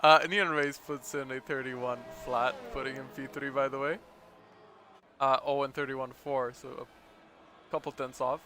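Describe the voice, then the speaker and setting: A man's voice: medium pitch, flat delivery, unhurried, sharp tone. Laughing, chatty man calling a sim race. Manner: chatty, laughs often, trails off with fillers. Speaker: race commentator. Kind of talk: casual live sim-racing commentary.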